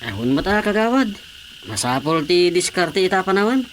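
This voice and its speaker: A woman's voice: fairly low pitch, sing-song, normal speed, slight background noise. An actress playing an out-of-work woman who chats easily with the councilman in a radio drama. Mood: resigned.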